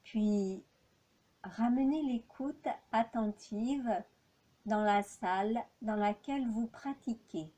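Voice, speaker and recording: A woman leading a guided yoga relaxation as an instructor, speaking calmly and in measured phrases with long pauses. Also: a little high, low-quality recording.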